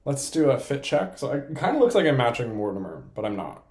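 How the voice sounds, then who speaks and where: A young man talks to camera in a casual vlog. His pitch swings widely as he goes, excitable and playful, with a self-deprecating streak. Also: a little fast, roomy sound. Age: young adult. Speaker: vlogger and college program applicant.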